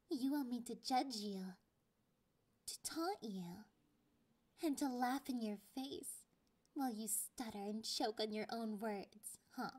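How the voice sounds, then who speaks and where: A possessive young catgirl girlfriend, acted by a woman, purrs in a high, girlish voice. She takes her time over every taunt, sliding between intimate speech and breathy whispers in an ASMR roleplay style. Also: a little mellow. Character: clingy, possessive, teasing young catgirl girlfriend, slightly sadistic.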